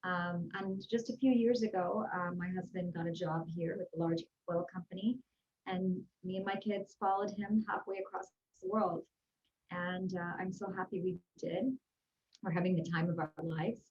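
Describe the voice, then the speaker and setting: A woman's voice: lowish voice, steady tone, normal speed, darkish tone, muffled recording. A woman speaking as an interview guest, answering in an upbeat, earnest, conversational way. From Houston, Texas, United States. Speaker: guest, executive and life growth coach. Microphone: video call.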